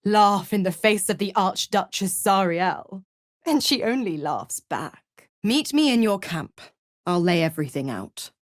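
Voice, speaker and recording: A woman's voice: mid-range voice, animated, measured pace, no room sound, clean studio sound, close to an everyday voice. An actress voicing a seductive, cruel and smug female devil in a video game, with a teasing, condescending, theatrical drawl. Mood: taunting, haughty. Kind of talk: video game character voice acting.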